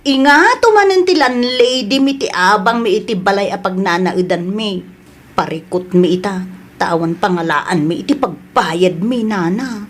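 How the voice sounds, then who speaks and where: A woman's voice: mid-range voice, animated, normal speed, natural voice. A woman playing a poor, anxious but grateful wife in an audio drama, emotive, with an Ilocano accent. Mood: anxious, pleading.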